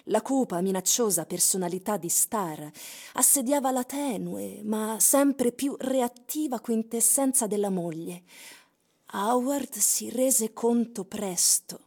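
A woman giving dramatic, literary radio narration with deliberate, suspenseful phrasing. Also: mid-range voice, lively intonation, a little mellow, husky. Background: music.

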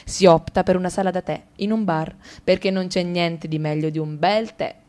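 A woman reading a comic monologue for radio theatre, ticking off lists briskly with ironic, playful emphasis. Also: lowish voice, fairly even intonation, mellow. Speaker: radio drama reader.

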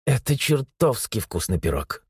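A man's voice: mid-range voice, big pitch swings, moderate pace, husky, no echo. A male narrator voicing a blunt, dry-humored young woman in an audiobook. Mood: wry.

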